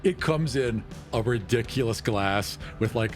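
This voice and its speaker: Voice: medium pitch, lively intonation, measured pace, loud music behind the voice. Someone narrating an improvised tabletop roleplaying game in a casual, playful, chuckling way. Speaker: game master and player.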